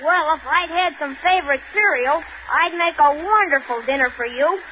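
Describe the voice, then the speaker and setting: Woman as very high-voiced plucky little boy. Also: normal speed, close to an everyday voice. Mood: sly. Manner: eager, boastful, gee-whiz. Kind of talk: 1930s radio cartoon acting. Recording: muffled, hissy old radio recording.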